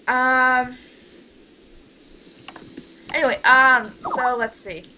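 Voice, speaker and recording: Female livestream host, bright and forceful, informal and bossy, on a slightly noisy voice call. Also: sits high, flat delivery, very slow. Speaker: host and leader of an amateur radio play group. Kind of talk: casual livestream chat. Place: online voice call.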